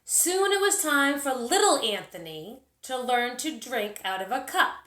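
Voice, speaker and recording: A woman teacher reading a children's story aloud, expressive and deliberate in her telling. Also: high-pitched. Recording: roomy, echoing sound.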